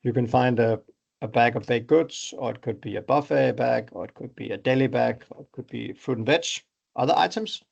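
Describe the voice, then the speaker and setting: A man's voice: fairly quick, gravelly, slightly rough, dull audio. A middle-aged man from Denmark with a Danish accent, presenting his startup in an online webinar in a conversational, explanatory way. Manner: explanatory, conversational pitch with examples.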